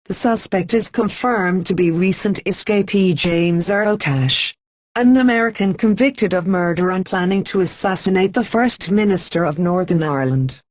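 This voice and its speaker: A woman reading a scripted emergency broadcast announcement in an even, formal manner with slow, deliberate pauses. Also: on the low side, no room sound.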